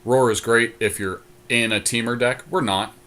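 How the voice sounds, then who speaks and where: A man's voice: medium pitch, fairly even intonation, moderate pace, fairly bright tone. A man co-hosting a podcast, giving casual, laid-back commentary on a card game as he thinks aloud. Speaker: podcast co-host. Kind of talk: casual commentary while playing a card draft.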